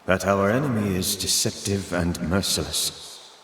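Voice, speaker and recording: A grave, regretful ghost of an old mentor in a video game. His low voice admonishes solemnly, with heavy echo and faint noise behind. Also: a little slow, fairly bright tone. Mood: solemn warning. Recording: echoey long reverb, faint noise.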